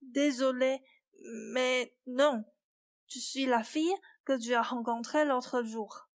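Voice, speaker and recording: Slow-spoken, gentle young fairy-tale heroine played by a woman. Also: sits high, steady tone. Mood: gentle, curious. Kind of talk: student radio play acting.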